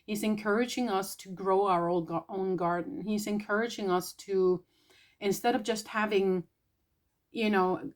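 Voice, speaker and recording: Soft-spoken woman, tentative. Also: mid-range voice, steady tone. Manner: soft, tentative, pausing often. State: irritated throat, clearing it. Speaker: spiritual channeler. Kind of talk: casual spiritual vlog.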